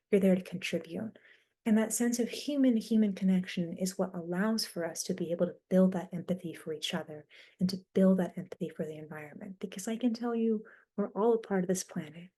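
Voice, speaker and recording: A woman speaking as a panelist on a webinar, giving an earnest, measured answer. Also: lowish voice, dark tone. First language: not Mandarin. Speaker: explorer panelist.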